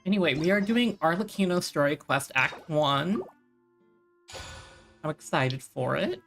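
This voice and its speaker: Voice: mid-range voice, lively intonation, unhurried, vocal fry, fairly bright tone. Casual game streamer, music behind. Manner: informal, rambling, thinking aloud.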